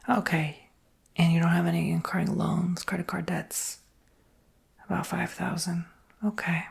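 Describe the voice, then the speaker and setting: A woman whispering in a breathy voice with a creaky undertone, giving casual, reassuring sales patter as an ASMR roleplay. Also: on the low side, flat delivery, measured pace.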